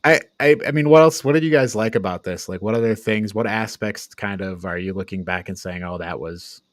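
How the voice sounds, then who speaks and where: A man's voice: on the low side, a little fast, no room sound, clean studio sound. A man hosting a casual film discussion, talking in a critical, exasperated way with mocking asides. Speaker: podcast host leading a film discussion. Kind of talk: casual review chat.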